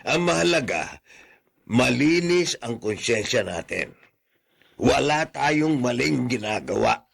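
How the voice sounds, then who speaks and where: A man acting an easygoing older widower in a radio drama, with a husky, gravelly voice. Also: mid-range voice, very animated, slow, a bit bright, exaggerated voice. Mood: calm, earnest.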